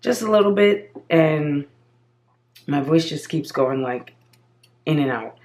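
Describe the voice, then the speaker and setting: Slow, hoarse woman crafting. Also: deep voice, expressive, darkish tone. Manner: chatty, talks viewers step by step. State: slightly hoarse. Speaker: craft channel host. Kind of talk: casual crafting tutorial voice-over.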